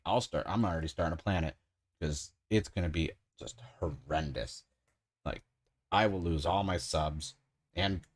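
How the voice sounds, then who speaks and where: Man, 30s, extremely low voice, chatty, rambling livestream builder. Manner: rambling, enthusiastic, frequent "like" fillers. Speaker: streamer building a model kit. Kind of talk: casual livestream chat while building.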